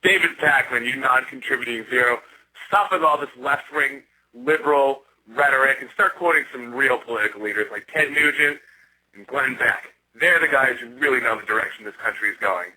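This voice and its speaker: Angry male caller, harsh husky voice, sharp forceful delivery. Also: medium pitch, brisk, rough recording. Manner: insulting, ranting.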